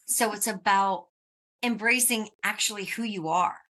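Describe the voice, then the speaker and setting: A woman's voice: medium pitch, unhurried, bright, forceful tone. A woman from the United States hosting a podcast interview, chatty and reflective, laughing often. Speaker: podcast host. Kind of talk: casual interview chat.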